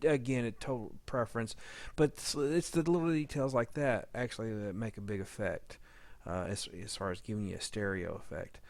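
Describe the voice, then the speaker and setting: A man giving an informal audio editing walkthrough, halting and rambling as he thinks aloud. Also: fairly low pitch, no echo. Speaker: audio drama editor giving a demo. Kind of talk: informal software demo walkthrough.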